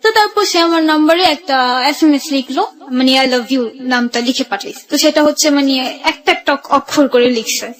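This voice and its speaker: A woman's voice: high voice, a little fast. A woman with a Bangladeshi accent telling her own story conversationally, re-enacting remembered exchanges as dialogue.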